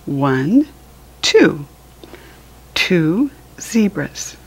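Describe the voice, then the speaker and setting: An American woman reading a counting book aloud to young children as a teacher, in an encouraging, sing-song, deliberate way. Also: lowish voice, very animated, husky, low-quality recording.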